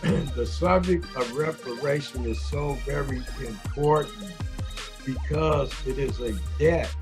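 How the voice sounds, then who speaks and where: An older man hosting a talk show, hoarse, clearing his throat often and saying "you know what I'm saying" a lot. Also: medium pitch, slow, over music. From California. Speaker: host. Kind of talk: casual talk show monologue.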